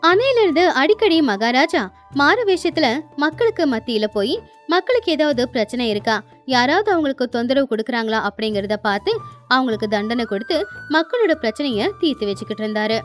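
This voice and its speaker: Lively high-voiced woman storyteller. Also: fairly quick. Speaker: story narrator. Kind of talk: expressive scripted moral storytelling. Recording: music behind the voice.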